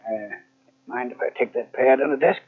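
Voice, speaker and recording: An actor playing a shrewd, needling police detective in 1940s radio mystery style, probing and sardonic. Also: male voice, medium pitch, moderate pace, natural voice. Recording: tinny vintage radio recording on cassette.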